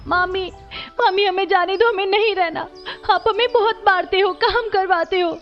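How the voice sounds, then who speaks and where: A woman acts a frightened orphan girl in a cartoon, very high and plaintive. She sounds meek and childlike, pleading over music in a slightly dull recording. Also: fairly even intonation, normal speed. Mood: tearful pleading. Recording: muffled audio with music behind.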